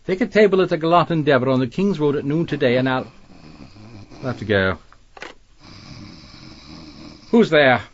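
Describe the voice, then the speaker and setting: An actor playing an outwardly polite, scheming publisher in a period mystery audio drama, speaking formal, measured English. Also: male voice, fairly high pitch, expressive, lo-fi sound, a little hiss or hum, natural voice. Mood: cool.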